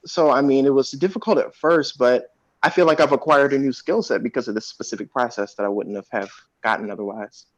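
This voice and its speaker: A man's voice: medium pitch, brisk. A man who works as an actor, talking reflectively in a panel chat. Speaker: actor in an audio play cast. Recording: muffled, roomy video call audio.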